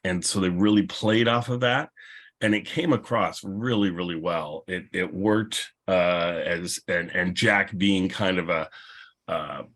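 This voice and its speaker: A man's voice: deep voice, measured pace, fairly bright tone. A man hosting a panel discussion in a casual, unscripted, rambling and good-humored way. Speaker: panel host and podcaster.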